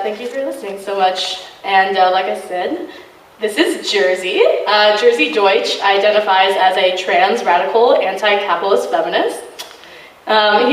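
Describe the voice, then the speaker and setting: A woman's voice: medium pitch, far from the mic, slight background noise. Earnest woman in an echoey room. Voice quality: loud voice. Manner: earnest, many fillers. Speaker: activist speaker. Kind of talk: informal talk to a student group. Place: college meeting room.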